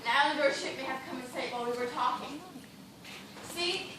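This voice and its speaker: A woman's voice: high voice, brisk, natural voice. An actress playing a distraught, feverish female dancer castaway in an amateur stage play, with impassioned theatrical delivery. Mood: desperate insistence. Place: theatre stage, recorded from audience. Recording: very echoey stage, distant, noisy.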